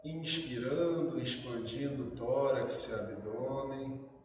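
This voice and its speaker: A man with a Brazilian Portuguese accent gives calm guided meditation instructions in short, measured phrases. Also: mid-range voice, a little hiss or hum. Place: reverberant indoor room. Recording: very echoey room, distant mic.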